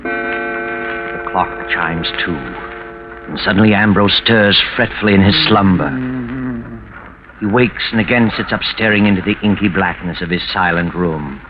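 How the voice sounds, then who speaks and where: An actor playing a sinister, mysterious unseen narrator, deliberate and eerie, in 1940s radio style. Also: male voice, deep voice, muffled recording, noisy background. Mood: ominous. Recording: band-limited old radio, loud music behind.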